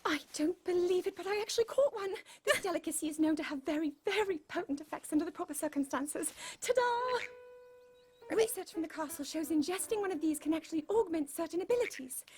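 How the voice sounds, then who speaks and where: A woman's voice: very high voice, lively intonation, fairly quick, a little mellow. A woman playing an earnest, scholarly young fantasy princess in a video game, with a British accent and formal, precise diction. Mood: excited, eager. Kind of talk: dramatic video game cutscene acting. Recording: music behind the voice.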